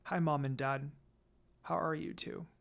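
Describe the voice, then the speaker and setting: A man acts out a lonely young heroine from a fan fiction story. The voice is high, plaintive and halting, with a tearful temper. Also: fairly even intonation, vocal fry, a bit bright. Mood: sad, tender.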